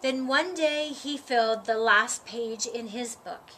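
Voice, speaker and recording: Slow, high-voiced woman reading a storybook. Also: bright, forceful tone. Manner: deliberate, dramatic phrasing with drawn-out words. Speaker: storyteller reading a children's picture book. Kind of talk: expressive read-aloud storytime narration. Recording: audible background noise.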